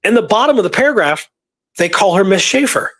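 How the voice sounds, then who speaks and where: Guy with a slightly high voice, rambling casually about old records, repeats himself for emphasis. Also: expressive, brisk, fairly bright tone. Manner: rambling, informal, emphatic repetition. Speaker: history researcher and show guest or co-host. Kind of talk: casual discussion of historical research.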